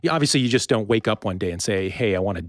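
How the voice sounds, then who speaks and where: Male podcast host, low-pitched, dry studio-close sound, casual and halting, circles around his questions. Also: animated, no room sound. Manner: halting, roundabout phrasing.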